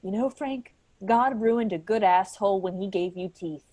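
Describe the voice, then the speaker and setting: A woman's voice: mid-range voice, normal speed, warm tone, no echo, natural voice. A woman playing a caustic, melodramatic, indignant office worker in a staged play reading.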